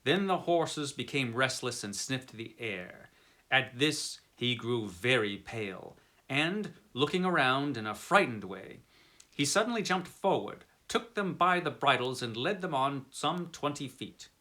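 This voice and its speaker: A man's voice: mid-range voice, animated, unhurried, pushed and bright. A man reading a classic horror story aloud in a melodramatic, theatrical style. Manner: theatrical, dramatic narration with wide swings in emphasis.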